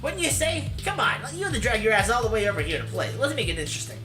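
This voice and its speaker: A man's voice: high-pitched, big pitch swings, racing, pitched above the performer's own voice. A man acts out a cocky teenage boy gamer from a visual novel. He talks in a brash, goading way, sure of himself. The sound is roomy, with music playing behind.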